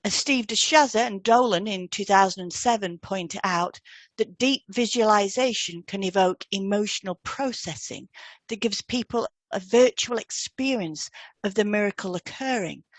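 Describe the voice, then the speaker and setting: A woman's voice: mid-range voice, moderate pace, no room sound. A woman co-hosting a podcast, reading scripted instructional narration. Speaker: podcast co-host, hypnotherapist.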